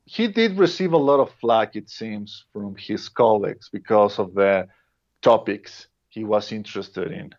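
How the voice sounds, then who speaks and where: A man's voice: lowish voice, measured pace. Mexican guy chatting on a podcast, stumbles and restarts a lot, kinda muffled lo-fi audio. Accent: Mexican Spanish. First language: Spanish. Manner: hesitant, many restarts and fillers, laughs often. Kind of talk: casual podcast conversation. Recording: dull lo-fi sound.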